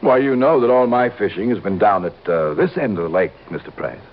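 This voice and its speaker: An actor playing a nervous, evasive murderer in an old-time radio drama, speaking haltingly and with guarded formality. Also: male voice, on the low side, animated. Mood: testy, suspicious. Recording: muffled, noisy old radio broadcast.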